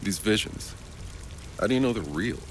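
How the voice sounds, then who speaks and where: A man playing a gruff, guarded drifter in a video game, terse and skeptical. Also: on the low side, expressive, moderate pace, lightly acted. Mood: skeptical, probing. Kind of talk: video game cutscene acting. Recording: noisy outdoor ambience behind voice.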